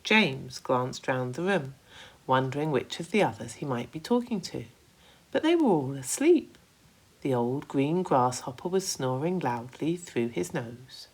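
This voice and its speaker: A woman reading a children's story aloud with expressive narration, like a primary school teacher. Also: rumbling low, measured pace, husky.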